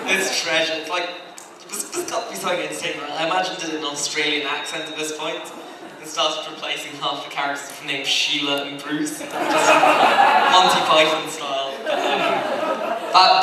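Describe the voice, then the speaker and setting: A man speaking as an industry panelist, explaining his views in a wordy, halting, self-correcting way. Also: on the high side. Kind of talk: casual panel discussion. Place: large indoor convention panel room. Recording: echoey room, distant mic, loud noise.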